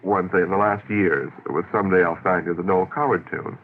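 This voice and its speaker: A man's voice: low-pitched, steady tone, a little fast, slight background noise. A man hosting a radio interview, chatting casually and nostalgically, on an old radio recording. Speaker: radio show host interviewing a guest. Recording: dull lo-fi old radio broadcast.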